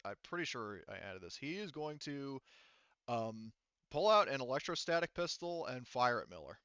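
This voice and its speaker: A man's voice: medium pitch, big pitch swings, moderate pace, pushed and bright, smooth, no room sound, muffled recording. A man acting as game master, casually narrating a tabletop game and explaining rules while thinking aloud.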